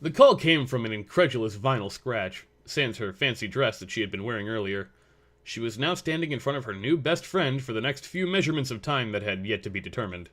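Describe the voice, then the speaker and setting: A man's voice: medium pitch, lively intonation, brisk, a bit bright. A man reading scripted story narration as the narrator of a fan fiction audio drama.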